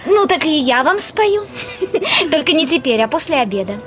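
An actress playing a capricious, lively teenage girl in a radio drama, outspoken, pert and bubbly. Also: high-pitched, moderate pace, soft background music. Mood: pert, playful. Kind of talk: theatrical Russian radio drama.

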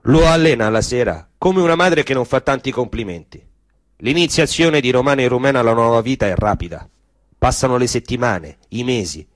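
A male narrator reads a scripted theatrical story. His voice sits at a middle pitch, in a dry space with hardly any echo. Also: expressive, fairly quick.

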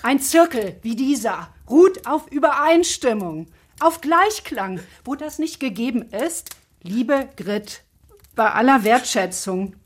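An actress playing a genteel, controlling hostess of a ladies' reading circle in a radio drama. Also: female voice, on the high side, lively intonation, slow pace, husky, exaggerated voice. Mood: sternly indignant. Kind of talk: German radio drama acting.

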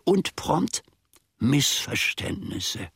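An actor playing a grumbling, self-important manservant who muses pedantically to himself in a German radio play. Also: male voice, a little high, very animated, very slow, a bit bright, slightly rough, no room sound, studio quality, exaggerated voice. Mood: smug, pedantic. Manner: ruminating, pedantic, self-satisfied.

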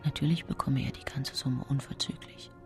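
An actress playing an anxious, secretive middle-aged woman poisoner, confiding in a hushed half-whisper in a radio play. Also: rumbling low, steady tone, normal speed, faint music behind. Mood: secretive, calculating. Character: anxious, secretive 19th-century woman poisoner confessing her story. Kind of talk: dramatic radio play monologue.

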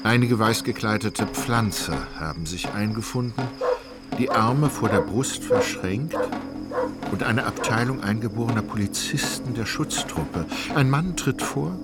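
Low-voiced male narrator over music. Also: measured pace. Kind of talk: scripted literary radio play narration.

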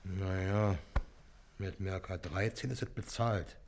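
A man's voice: low-pitched, steady tone, slow pace. An actor playing a wheedling, middle-aged river boatman and shady dealer in a radio play, speaking colloquial Berlin dialect. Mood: grumbling haggling. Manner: colloquial, grumbling, insistent bargaining.

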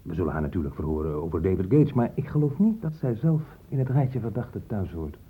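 A police inspector in a radio play, worldly and sceptical. His voice is low and markedly husky, with a wry, measured delivery. The sound is dull, like an old broadcast. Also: big pitch swings, full character voice. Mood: matter-of-fact. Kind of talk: Dutch radio play acting.

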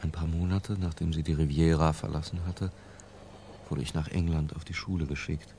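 An actor playing an imprisoned man recalling an obsession in a hushed, lingering literary radio drama narration. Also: extremely low pitch, steady tone, moderate pace, slight background noise. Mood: sombre, reflective. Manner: hushed, lingering, sometimes falling to a whisper. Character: Humbert, imprisoned man confessing his obsessive boyhood love.

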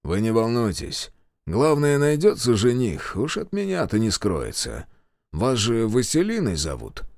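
Calm ironic soviet major, narrator doing him, measured, lively pitch, dry close sound. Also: male voice, vocal fry, no echo, heavily acted. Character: calm, wry male Soviet militia major.

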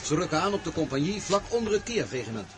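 An actor playing a brisk staff officer making formal reports to his general in a radio drama. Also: fairly high pitch, sing-song, music in the background. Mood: urgent, formal.

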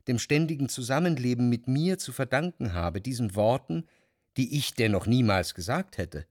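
An actor playing a jealous, introspective lover who narrates a literary radio drama in long, measured sentences. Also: male voice, medium pitch, no room sound, studio quality, lightly acted. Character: brooding, jealous male narrator in love, reflective.

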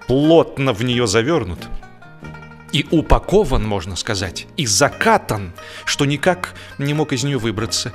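A man narrating a humorous first-person story expressively, with dramatic pauses and a shifting tempo. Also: mid-range voice, a bit bright. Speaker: audiobook narrator. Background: music.

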